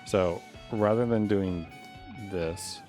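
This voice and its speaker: A man's voice: fairly low pitch, wildly swooping pitch, takes it slowly, mellow, faint music behind. A man streaming live as he codes, talking casually and unscripted, rambling as he thinks aloud. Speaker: live streamer coding a game.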